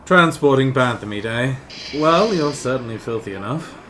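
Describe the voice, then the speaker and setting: A man's voice: medium pitch, animated, normal speed, fairly bright tone, a little hiss or hum, close to an everyday voice, poor audio. A man playing an officious, touchy young Imperial lieutenant in a sci-fi audio drama, clipped and pompous. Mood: sneering, sarcastic.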